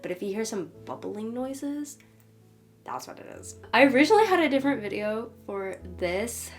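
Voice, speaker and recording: A woman's voice: on the high side, very animated, moderate pace, soft background music. A woman vlogger with a cold and a stuffed-up nose. She sounds nasal as she chats and rambles through her phone setup, often correcting herself. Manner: rambling, talkative, self-correcting. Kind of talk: casual chatty show-and-tell. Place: home, humidifier running.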